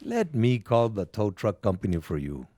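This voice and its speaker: An actor playing a shrewd street peddler in an audio drama, pitching secondhand goods in short clipped phrases. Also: male voice, sing-song, moderate pace, warm tone, no echo. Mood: sly, selling. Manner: terse, clipped sales patter.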